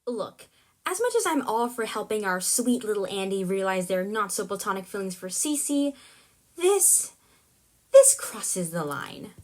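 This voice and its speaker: A woman's voice: medium pitch, very animated, unhurried. A woman voicing an agitated, indignant businesswoman in an audio drama, scolding with emphasis. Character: agitated, indignant businesswoman, marketing director and girlfriend.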